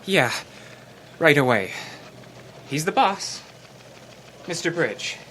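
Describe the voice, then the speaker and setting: Man acting nervous, lively-pitched young underling. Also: slow, full character voice. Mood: urgent. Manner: hesitant, earnest, stammering. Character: nervous, grateful, deferential younger male newspaper underling. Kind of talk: old-time radio drama acting. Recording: noisy live stage, slightly distant.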